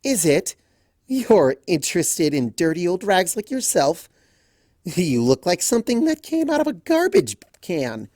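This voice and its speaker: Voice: mid-range voice, big pitch swings, measured pace, a little mellow, no room sound, studio quality, voice pushed up. A reader voicing a haughty, spiteful female crystal pony with a sneering, cutting delivery. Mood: sneering contempt.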